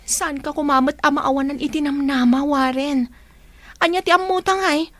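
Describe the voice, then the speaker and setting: A woman's voice: high voice, steady tone, normal speed, a bit bright, no room sound. A woman playing an anxious young wife who longs for a child in a radio drama, speaking emotionally with an Ilocano accent. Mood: pleading, concerned. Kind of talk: Filipino radio drama acting.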